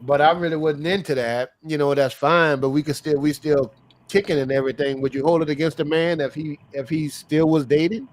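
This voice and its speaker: A man's voice: a little high, fairly even intonation, a little fast. A man on a casual panel debate, laid-back as he asks his questions. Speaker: panel member.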